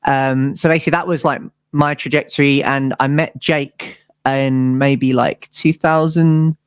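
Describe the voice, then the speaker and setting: A British man speaks casually as an interview guest, in a slightly low voice. He rambles informally through his stories like a musician among peers. The sound is dry, with very little room to it. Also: steady tone, a little slow, smooth, dry recording. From United Kingdom.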